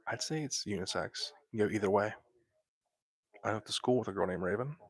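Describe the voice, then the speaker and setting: A young man whispering softly into a close microphone while hosting a laid-back late-night livestream from bed at home. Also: fairly low pitch, brisk, breathy edge. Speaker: livestream host.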